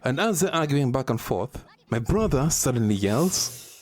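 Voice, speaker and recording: A man's voice: medium pitch, slight background noise. A young man from Kenya, telling a comedic scripted story in an informal, dramatic way. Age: young adult. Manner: animated, informal, dramatic pauses for emphasis. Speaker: storyteller, video host.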